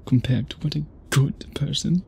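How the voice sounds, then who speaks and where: A man playing an obsessed, tormented vampire suitor in an intimate ASMR roleplay, speaking formally and haltingly in a breathy, husky voice. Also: mid-range voice, dark tone, a little hiss or hum, natural voice. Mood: brooding, bitter. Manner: formal, theatrical, halting speech with dramatic pauses.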